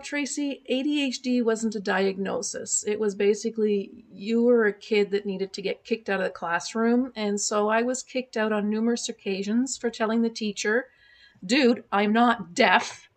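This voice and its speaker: A woman's voice: on the high side, moderate pace. A woman in her sixties whose first language is German, raised in Canada, speaking candidly as a podcast guest, with frequent fillers. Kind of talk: casual interview conversation. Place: remote podcast call.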